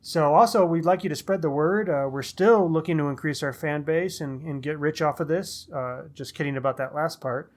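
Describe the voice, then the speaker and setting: Higher-voiced man chatting on a podcast. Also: normal speed, hardly any pauses. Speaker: podcast co-host. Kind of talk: casual book podcast chat.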